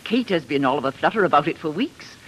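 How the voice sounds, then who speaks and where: A gushing aristocratic mother in a vintage radio play. She is genteel and welcoming, with a very animated pitch over faint broadcast noise. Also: quick pace, rough recording. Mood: delighted. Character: effusive, welcoming middle-aged aristocratic mother. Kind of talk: 1940s radio period drama acting.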